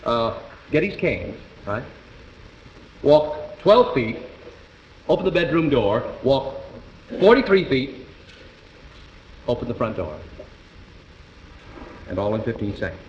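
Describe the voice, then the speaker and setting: An actor playing a calm, persistent juror in 1950s live television drama, reasoning step by step with small hesitations. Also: male voice, medium pitch, slow, pauses often. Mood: methodical. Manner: hesitant, methodical, explaining step by step. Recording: muffled, noisy, echoey 1950s TV broadcast.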